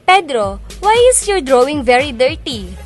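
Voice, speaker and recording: High-pitched young Filipina radio guest. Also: female voice, sing-song, unhurried. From Philippines, Cagayan province. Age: young adult. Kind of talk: casual radio chat. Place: radio studio. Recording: music bed behind voice.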